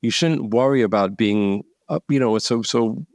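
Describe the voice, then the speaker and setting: Gravelly man speaking bluntly. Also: medium pitch, moderate pace, darkish tone, no echo, studio quality. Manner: blunt, profane, emphatic. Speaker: guest speaker. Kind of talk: conversational interview.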